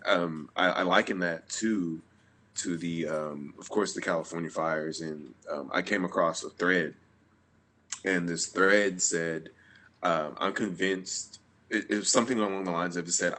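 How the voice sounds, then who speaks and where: A very low-pitched man with a bright, forceful edge to his voice. He speaks earnestly and hedges his points. The tone is conversational. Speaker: cast member at a table read. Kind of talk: casual discussion.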